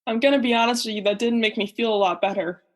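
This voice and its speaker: A woman's voice: a little high, steady tone, quick pace, natural voice. A young woman playing a naive, oblivious male oceanographer in a student radio play, earnest and melodramatic. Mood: uneasy. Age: young adult.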